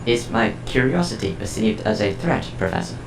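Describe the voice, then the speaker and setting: A man performs a calm, curious artificial intelligence with a deep, heavily creaking voice. It speaks in formal, precise sentences, yet its pitch moves expressively. The voice echoes over prominent music, as in a science fiction audio drama. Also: normal speed.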